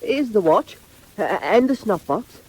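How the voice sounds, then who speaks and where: Young thief: male, high voice, impudent and cocky, 1940s audio-drama style. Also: sing-song, unhurried, a little mellow, slightly rough, full character voice, poor audio. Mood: nervous, cocky. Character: cheeky, cocky young male Cockney pickpocket.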